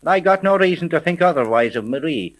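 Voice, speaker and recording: Higher-pitched actor as a blunt police sergeant. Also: male voice, expressive, fairly quick, close to an everyday voice. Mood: suspicious, wary. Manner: blunt, slangy, wary. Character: gruff, suspicious veteran male police sergeant. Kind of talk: 1930s radio serial acting.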